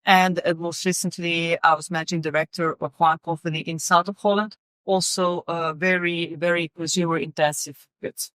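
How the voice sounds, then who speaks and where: A middle-aged woman from Serbia, speaking English as a guest in an interview, halting with many fillers and repeated words. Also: lowish voice, steady tone, fairly bright tone, smooth, no echo. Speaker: guest, company executive. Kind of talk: casual interview conversation.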